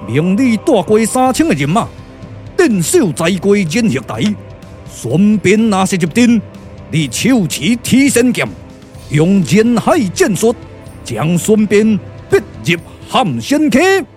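A man's voice: high-pitched, sing-song, slow pace, darkish tone, faint music behind, heavily acted. An actor with a Taiwanese accent playing an imperious male warlord, declaiming commands in puppet radio drama style. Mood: imperious, menacing.

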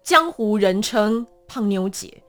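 A woman with a Taiwanese Mandarin accent, telling stories as a guest in a conversational interview with a dramatic, animated delivery. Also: medium pitch, slow pace, faint music behind. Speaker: voice actor guest.

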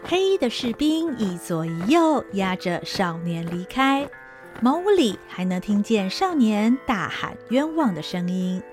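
A woman narrating a radio drama with an expressive, storytelling delivery. Her pitch moves animatedly through each sentence. Faint music runs underneath. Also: measured pace.

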